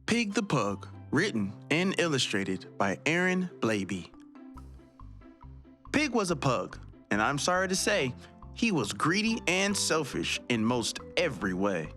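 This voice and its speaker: A man hosting a children's read-aloud, addressing young viewers directly with drawn-out emphasis. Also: medium pitch, wildly swooping pitch, fairly bright tone, soft background music. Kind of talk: presenting and reading a children's picture book.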